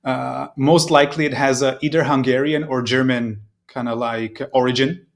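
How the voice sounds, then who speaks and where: A man's voice: medium pitch, steady tone, moderate pace, vocal fry. Man in his thirties. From Serbia. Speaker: interview guest, proptech company representative. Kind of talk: conversational interview answers.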